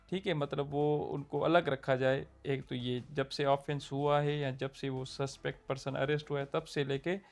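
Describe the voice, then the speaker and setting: A young man with a Pakistani Urdu accent explains a point of law in a casual, conversational lesson, often checking in with his listeners. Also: on the high side. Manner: conversational, checks in with "theek hai". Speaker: law lecturer.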